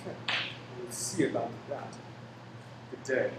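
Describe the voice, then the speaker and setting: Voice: medium pitch, slow pace, natural voice. A performer in a radio play acting a grumbling, unsatisfied shop customer with a peevish, clipped manner. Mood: disgruntled. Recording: very echoey, distant, clearly noisy.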